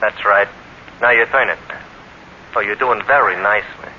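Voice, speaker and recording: A man's voice: on the low side, normal speed, close to an everyday voice. A man playing a bossy, sarcastic policeman with mock-patient condescension, in 1940s radio acting style. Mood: mocking patience. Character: sarcastic, bossy male beat cop. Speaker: radio actor. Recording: muffled, noisy 1940s radio broadcast.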